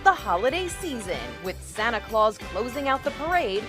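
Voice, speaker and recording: A woman's voice: a little high, sing-song, moderate pace, music in the background. A woman with an American accent reading scripted documentary narration. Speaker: video narrator.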